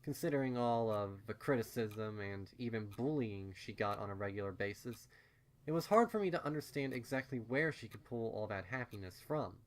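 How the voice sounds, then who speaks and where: A man's voice: lowish voice, animated, normal speed. A man reading fan fiction aloud as scripted story narration.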